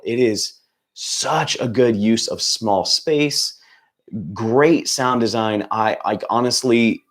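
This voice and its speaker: A young man hosting a casual livestream, hoarse and close to losing his voice, talking in an upbeat, rambling way with lots of fillers and restarts. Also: fairly low pitch. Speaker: channel host.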